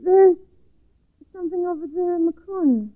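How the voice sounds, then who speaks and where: High-voiced timid girl, old radio. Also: normal speed. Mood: nervous, reluctant. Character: timid, frightened young girl. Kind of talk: 1940s radio acting. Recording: thin, phone-like 1940s radio recording.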